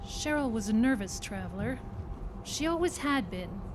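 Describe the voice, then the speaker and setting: A woman's voice: fairly high pitch, animated, normal speed. A woman reading a scripted story aloud as dramatic narration at a live performance. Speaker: story reader. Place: performance club before live audience. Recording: noisy live club recording.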